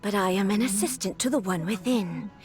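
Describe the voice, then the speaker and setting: A woman's voice: mid-range voice, a little fast, music in the background, close to an everyday voice. A woman voicing an emotionless, obedient puppet ruler in a video game, speaking in a terse, solemn, formal manner. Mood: cold, detached.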